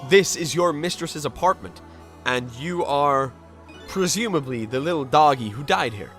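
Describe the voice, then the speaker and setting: A man acts out a kindly phantom sleuth in a game dub. He sounds wry and expressive, on the higher side with big swings in pitch, over background music. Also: moderate pace. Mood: pondering. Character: amnesiac male ghost detective, wry and kindly. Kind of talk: animated game fandub acting.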